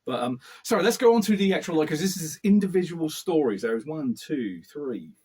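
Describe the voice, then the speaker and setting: A husky man with a fairly high, lively voice that swings up and down. He hosts a casual interview, playful and jokey. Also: a little fast. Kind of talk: casual, joking interview chat.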